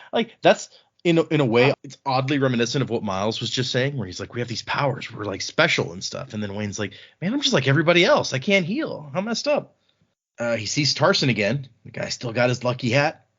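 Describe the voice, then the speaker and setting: A male podcast host talks through a novel in a loose, conversational way. His voice sits at a medium pitch and he drops in wry, colloquial asides. The recording is a bit dull and lo-fi. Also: big pitch swings, brisk, a bit bright, breathy edge. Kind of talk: casual chat, informal book recap.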